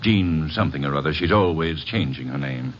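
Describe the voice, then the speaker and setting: An actor playing a courteous but coldly menacing crime boss in an old radio detective drama, speaking formally and with measured threat. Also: male voice, a bass voice, animated. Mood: polite menace. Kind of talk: 1940s-50s radio detective acting. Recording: muffled, noisy old radio recording.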